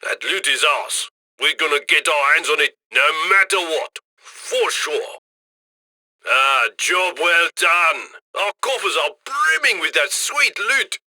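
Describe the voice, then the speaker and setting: A man's voice: a little high, lively intonation, slow, pushed and bright, dry recording, heavily acted. An actor playing a cocky, chummy male heist handler in a video game, with a wry, folksy, upbeat manner. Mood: brisk, determined, then jubilant, chummy. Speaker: mission handler.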